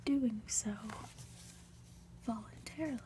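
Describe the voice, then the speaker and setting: Slow, whispering woman reading ASMR. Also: fairly high pitch, a little hiss or hum. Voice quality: soft, breathy whisper. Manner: gentle, unhurried, deliberate delivery. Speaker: ASMR reader.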